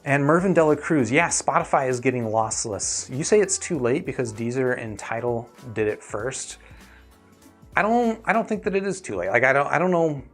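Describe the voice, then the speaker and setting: A man's voice: mid-range voice, lively intonation, moderate pace, faint music behind. A man hosting a live stream, talking casually and explaining his thinking to viewers in a conversational way. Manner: conversational, explanatory, often checking in with "right?". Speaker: host of an earphone review live stream.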